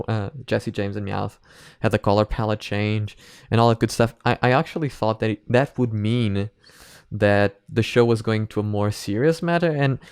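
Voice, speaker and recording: A man's voice: lowish voice, smooth, no room sound, studio quality. A man hosting a reaction video, giving casual opinionated commentary in a rambling, emphatic way with some casual swearing.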